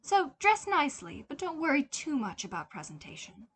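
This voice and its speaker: A woman's voice: high-pitched, huge pitch swings, normal speed, fairly bright tone, pitched above the performer's own voice, full character voice. A woman voicing a prim, wealthy mother with a formal, clipped, airily condescending manner in an audiobook-style story. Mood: airy, commanding. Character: prim, coolly commanding wealthy mother of a young boy.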